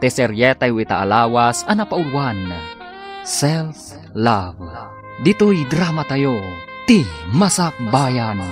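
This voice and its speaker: A man with a Filipino accent announcing a radio drama in scripted, dramatic narration. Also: mid-range voice. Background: guitar music.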